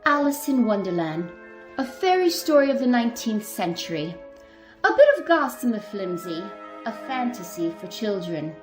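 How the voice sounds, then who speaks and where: A woman's voice: on the high side, sing-song, moderate pace. Woman as echoey, grand storybook announcer. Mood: grand, lyrical. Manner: lyrical, declamatory. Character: formal storybook radio announcer, lyrical and grand. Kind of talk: 1930s radio drama announcing. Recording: roomy echo with music behind.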